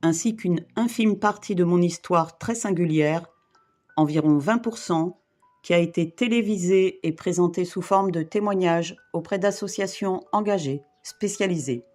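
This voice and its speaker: A woman in her fifties from the Paris region, reading a personal testimony in a measured, formal way. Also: on the low side, darkish tone. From France, Île-de-France. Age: 50s. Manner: measured, formal, list-like delivery. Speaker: brief therapist and volunteer rescuer. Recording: guitar music behind.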